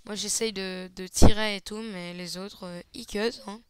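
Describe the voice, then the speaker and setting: A man giving casual, hesitant play-by-play commentary over a shooter match, slightly hoarse, with lots of fillers. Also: high voice, steady tone, moderate pace, a bit bright, no room sound. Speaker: gaming channel host. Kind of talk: gameplay commentary.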